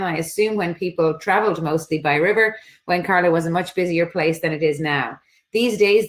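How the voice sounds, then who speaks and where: A woman presenting slides at an online study fair, relaxed and filling pauses with ums. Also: fairly low pitch. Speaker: international office presenter.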